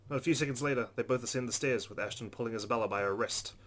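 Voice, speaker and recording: A man's voice: mid-range voice, rapid-fire, fairly bright tone. A man hosting a game playthrough, reading visual novel narration aloud. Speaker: let's play host.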